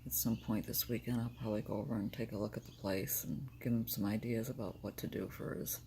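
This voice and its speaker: An older woman with a deep, gravelly, smoker-like morning voice, tired and short on sleep, talking candidly in a rambling personal video diary. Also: steady tone, fast talker, dark tone, slight background noise. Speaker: vlogger.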